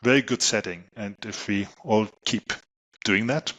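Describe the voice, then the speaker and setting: A middle-aged man with a German accent, a guest on an interview podcast, answering with many pauses and fillers. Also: on the low side, fairly bright tone. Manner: hesitant, many ums and you knows. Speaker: guest, solar company technology chief.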